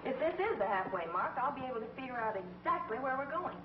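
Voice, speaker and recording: An earnest space traveler. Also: female voice, sits high, expressive, quick pace, very noisy. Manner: earnest, questioning. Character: young woman space traveler, anxious and sharp. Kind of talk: 1950s TV sci-fi acting. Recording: dull old 1950s TV soundtrack, loud music behind.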